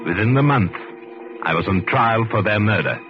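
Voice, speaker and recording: An actor playing a sardonic, murderous husband in a 1940s radio drama, speaking with suave deliberation. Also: male voice, lowish voice, expressive, moderate pace, natural voice. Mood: cold, confiding. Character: coldly mocking murderous husband, narrating his own trial. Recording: muffled vintage radio recording, faint music.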